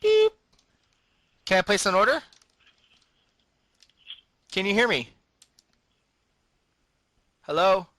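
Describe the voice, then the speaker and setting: A man putting on a bumbling prank caller who speaks haltingly in broken sentences with interjected beeps. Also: sits high, huge pitch swings, a bit bright, full character voice. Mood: eager, halting. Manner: halting, broken grammar, interjects the word beep. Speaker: prank caller placing a food order. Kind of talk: improvised prank phone call.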